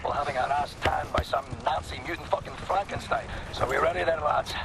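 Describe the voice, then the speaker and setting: A man's voice: on the high side, a little fast, gravelly. An actor playing a brash, crude, sardonic soldier rallying his comrades in a video game. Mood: bitter, rousing. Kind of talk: video game cutscene acting. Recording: very loud battle and vehicle noise.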